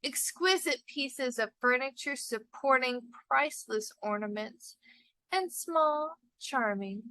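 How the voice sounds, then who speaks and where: A woman reading a literary short story aloud with deliberate, expressive, dramatic phrasing. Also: sits high, dry recording, rough recording. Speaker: story reader.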